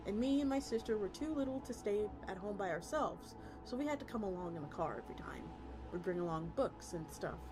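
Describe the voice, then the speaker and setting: A woman's voice: mid-range voice, rough recording. A woman streamer reading a story aloud in a casual, conversational way. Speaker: VTuber streamer. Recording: music playing behind the voice. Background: background music.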